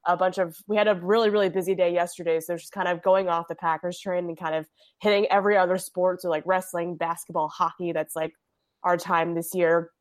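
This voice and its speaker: A young woman sports reporter with a husky voice from a cold, chatting casually as a podcast guest, laughing often and restarting her sentences. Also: lowish voice, level tone, a little fast, dry recording. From Indiana.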